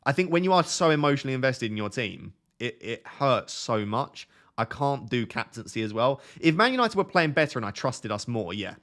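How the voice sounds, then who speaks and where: A young man hosting a fantasy football live stream, reading viewer questions aloud and answering them in a relaxed, soothing voice. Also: medium pitch, brisk. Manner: self-described relaxing, soothing voice. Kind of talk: casual live stream chat.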